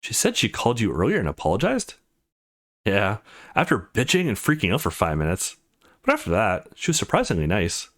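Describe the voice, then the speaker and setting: A man's voice: fairly low pitch, huge pitch swings, fairly quick, a bit bright, full character voice. Man voicing a laid-back, defiant teenage boy. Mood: puzzled.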